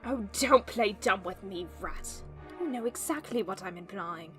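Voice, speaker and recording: A woman's voice: medium pitch, expressive, fairly bright tone, close to an everyday voice. Woman acting a sly, menacing villainess, music faintly behind. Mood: sly, threatening. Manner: taunting, sarcastic, drawn-out delivery. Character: sly, menacing female villain, mocking and smug. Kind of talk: animated web series voice acting.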